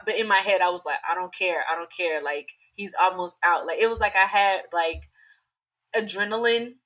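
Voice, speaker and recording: Young woman, bright slightly hoarse voice, chatty and animated, lots of "like". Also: fairly low pitch, rough recording. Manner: chatty, animated, many fillers. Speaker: podcast guest. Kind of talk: casual storytelling.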